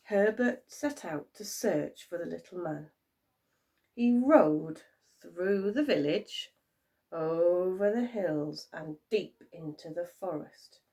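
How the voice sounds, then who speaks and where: Slow, very animated woman storyteller. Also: fairly low pitch, distant mic. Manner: expressive, dramatic. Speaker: primary teacher reading a story. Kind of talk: animated storytelling for young children.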